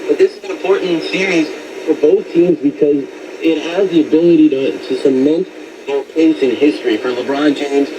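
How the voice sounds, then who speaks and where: A man working as a sports pundit, giving fluent, explanatory basketball analysis over shortwave radio. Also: a little high. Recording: noisy shortwave radio broadcast.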